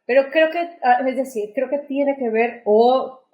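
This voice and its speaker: A woman with a fairly high voice talks casually as a podcast co-host. The sound is roomy, with clear echo around her voice. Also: unhurried, highs cut off. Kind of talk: casual conversation.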